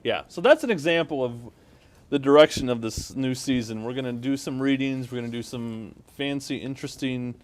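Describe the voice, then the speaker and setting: A man co-hosting a comedy podcast in a casual, halting, informal way as he introduces segments. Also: mid-range voice. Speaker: podcast co-host.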